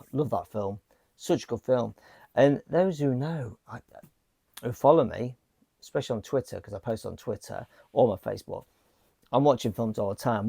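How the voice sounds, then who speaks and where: A man chatting casually in a home vlog, his voice croaky from a lingering cough, rambling with plenty of fillers and restarts. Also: medium pitch, dark tone, no room sound, studio quality. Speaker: vlogger. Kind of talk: casual chat. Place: home game room. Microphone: small handheld mic.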